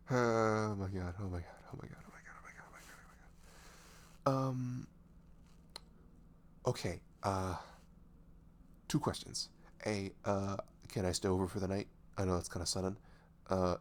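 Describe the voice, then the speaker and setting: A man playing a nervous, dorky best friend confessing love in an intimate ASMR roleplay, halting and stammering, with sighs and soft breathy moments. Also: low voice. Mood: shyly eager. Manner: halting, stammering, sighing, breathy laughs, often dropping to whispers. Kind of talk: roleplay ASMR audio drama, intimate and conversational.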